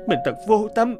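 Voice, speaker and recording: A man's voice: sits high, full character voice. A man voicing an anxious, remorseful grown son in a cartoon, with a worried, pleading manner.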